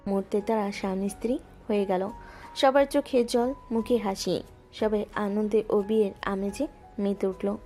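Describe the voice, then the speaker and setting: A woman narrating a romantic audio story in a smooth, even storytelling voice. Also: mid-range voice, moderate pace. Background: soft background music.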